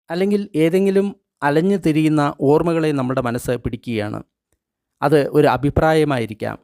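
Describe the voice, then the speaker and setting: A man reading guided meditation instructions in short, measured phrases with pauses between them. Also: on the high side, darkish tone, no room sound, studio quality. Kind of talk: guided meditation, scripted instruction read aloud.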